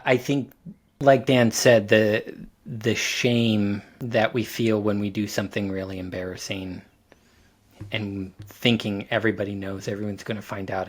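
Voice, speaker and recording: A man on a podcast panel talking casually and reflectively, thoughtfully working his way through phrases. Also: on the low side.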